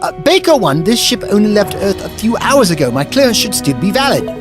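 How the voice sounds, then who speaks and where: Flustered spaceship pilot, theatrical, high voice leaping all over, music behind him. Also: male voice, big pitch swings, fairly quick. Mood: alarmed, pleading. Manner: theatrical, exclamatory, talks to himself. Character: flustered, chatty traveller piloting a spaceship alone. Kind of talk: audio drama acting.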